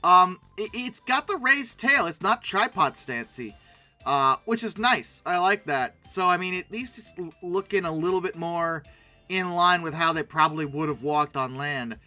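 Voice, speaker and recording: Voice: medium pitch, animated, normal speed, pushed and bright, soft background music. A commentator giving casual, humorous commentary in a sarcastic, theatrical way. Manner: sarcastic, theatrical, breaking into mock outbursts. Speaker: YouTube host reviewing old dinosaur art.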